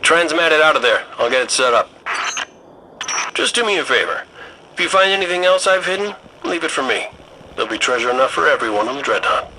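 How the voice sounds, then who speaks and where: A man's voice: on the high side, lively intonation, a little fast, long pauses, noisy background, close to an everyday voice. A man acting a wry, cocky rogue commander in a video game, with a breezy, sardonic, playful delivery. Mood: sly, casual. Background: music behind the voice.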